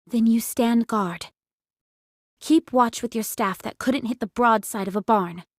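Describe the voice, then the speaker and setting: A young female android commander holds a squad together with firm, insistent urging. Her voice is on the high side, earnest and strained. The game drama recording is dry and close. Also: a little slow, no echo. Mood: exasperated.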